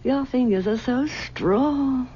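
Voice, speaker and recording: A possessive older mother in a vintage radio play. She coaxes, pleads and stammers in a high voice. The broadcast sound is dull, with faint hiss. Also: mellow. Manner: coaxing and possessive, halting with stammers. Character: clinging, possessive aging mother. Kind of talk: 1970s radio drama acting.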